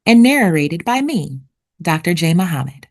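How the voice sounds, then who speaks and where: A woman narrating for children like a teacher, with deliberate, expressive enunciation. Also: on the low side. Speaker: read-aloud host and narrator. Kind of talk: children's picture book read-aloud with vocabulary teaching.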